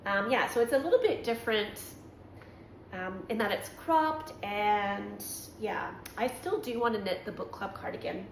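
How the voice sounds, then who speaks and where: A chatty woman hosting a knitting podcast, her voice slightly raspy from allergies. She rambles along with frequent ums and corrects herself mid-thought. She is recorded in an echoey room with a faint hum behind her. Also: medium pitch, normal speed. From Canada. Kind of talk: casual show-and-tell chat.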